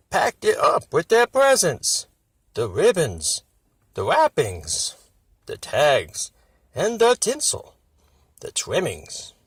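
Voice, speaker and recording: Guy reading a kids story real theatrical, fake british-aussie accent, husky and slow, dry close sound. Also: on the high side, dry recording. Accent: put-on British-Australian. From United States. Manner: theatrical, drawn-out phrasing. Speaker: storybook reader. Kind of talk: dramatic scripted story narration.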